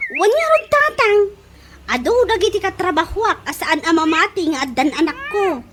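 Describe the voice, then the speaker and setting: A woman's voice: extremely high pitch, lively intonation, normal speed, a bit bright, a little hiss or hum. A woman playing a fussy, girlish young mother in an Ilocano-accented comedy radio drama, exclamatory and gossipy. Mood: pleased, bashful. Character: girlish young working mother fussing over her hair.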